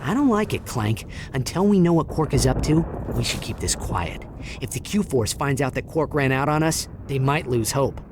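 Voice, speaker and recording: A youthful, determined game hero played by a man, casual and animated at a medium pitch. Clear ambient noise is behind the voice. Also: normal speed, natural voice. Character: young male cartoon space hero, determined, plain-spoken.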